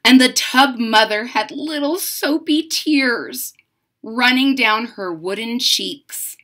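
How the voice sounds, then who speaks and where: A middle-aged woman reading a picture book aloud to children, dramatic and deliberate, with simple explanatory asides. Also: a little high, fairly bright tone. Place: indoors, small home room.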